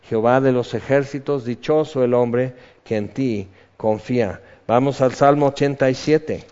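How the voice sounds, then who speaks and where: A man's voice: mid-range voice, moderate pace, dark tone. A man preaching a sermon in a conversational way, reading psalm verses aloud. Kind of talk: sermon, Bible teaching. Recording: dull reverberant hall recording.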